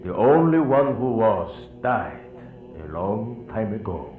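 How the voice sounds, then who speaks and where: A solemn, defiant priest played by a man in a radio horror play. He declaims slowly with big rises and falls, heard from a distance on a tinny recording. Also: on the low side, very animated, soft background music. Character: grave male priest, fatalistic and defiant. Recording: tinny band-limited audio, distant, echoey.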